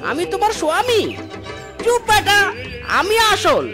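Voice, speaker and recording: Squeaky, whiny cartoon husband. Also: lively intonation, measured pace, exaggerated voice. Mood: indignant. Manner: theatrical, complaining, calling out. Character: cartoon village husband, whiny, wheedling, blustering. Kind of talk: animated folk-tale cartoon acting. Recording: clear background music.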